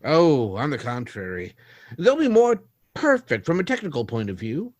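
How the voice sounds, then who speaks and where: An actor plays a coolly detached male scientist in a vintage-style radio play. His intonation is lively and his sound dry, with a matter-of-fact, slightly condescending manner. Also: medium pitch, normal speed, no room sound. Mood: clinical. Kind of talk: recreated 1930s radio drama.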